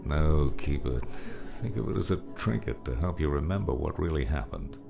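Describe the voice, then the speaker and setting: Weary veteran guardian, played by a man, kindly older mentor type, very low and heavily creaky, music underneath. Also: expressive, moderate pace, exaggerated voice. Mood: gentle, reassuring. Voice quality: gravelly. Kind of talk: audiobook drama acting.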